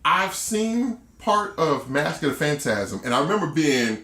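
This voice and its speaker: Middle aged guy co-hosting, lively swings in pitch, informal chat, echoey room. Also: a little slow. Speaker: podcast co-host. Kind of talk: casual chat, reminiscing as a fan. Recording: roomy echo.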